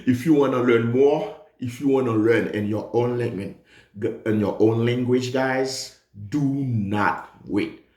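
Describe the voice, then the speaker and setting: A man's voice: medium pitch, measured pace, echoey room. A man, a Creole speaker, giving an emphatic nursing exam review lesson. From Haiti. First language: Haitian Creole. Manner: animated, emphatic, repeats key points.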